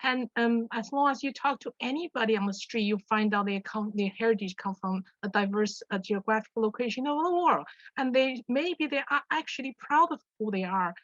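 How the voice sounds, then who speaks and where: A woman's voice: a little high, fairly quick, fairly bright tone, dry recording, highs cut off. A woman speaking earnestly on a video call panel, repeating herself and using non-native grammar.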